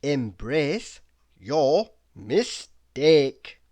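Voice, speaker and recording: A reader delivers tongue-in-cheek motivational quotes at an extremely slow pace. The delivery is dramatic and deliberate, with lively swings in pitch and clear pauses between phrases. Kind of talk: reading humorous motivational quotes aloud.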